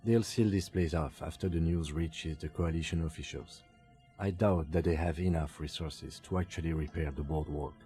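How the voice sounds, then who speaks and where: An actor playing a calm, pragmatic police detective in a video game, speaking in a dry, measured and formal way. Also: male voice, extremely low pitch, faint music behind. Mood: dry, clinical. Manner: measured, formal, matter-of-fact. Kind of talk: scripted video game dialogue.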